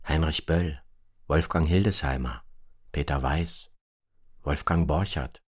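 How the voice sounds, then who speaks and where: A man reading scripted radio documentary narration in a measured, matter-of-fact manner. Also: rumbling low, husky. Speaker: narrator, presenter.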